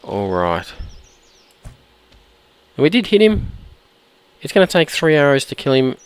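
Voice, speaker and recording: A man with a hoarse, croaky voice from a cold, chatting casually while he plays and often clearing his throat. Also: fairly high pitch, wildly swooping pitch, measured pace. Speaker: gameplay commentator. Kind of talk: casual let's-play commentary.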